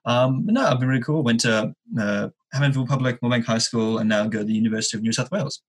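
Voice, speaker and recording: A man in his twenties with a husky voice, chatting casually as a guest, hesitant and dryly joking. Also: medium pitch, quick pace. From Sydney, Australia. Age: 20s. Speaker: podcast guest, engineering student. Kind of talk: casual chat, telling his own story.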